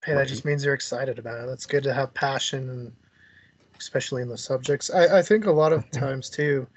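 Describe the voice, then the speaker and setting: A male interview host talks in a medium pitch. He is casual and conversational, agreeing with his guests and encouraging them along. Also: fairly quick, rough recording.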